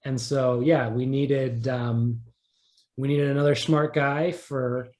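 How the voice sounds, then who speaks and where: A man being interviewed as a writer, talking casually and haltingly, often repeating words as he thinks aloud. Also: mid-range voice, fairly even intonation, darkish tone. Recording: roomy echo.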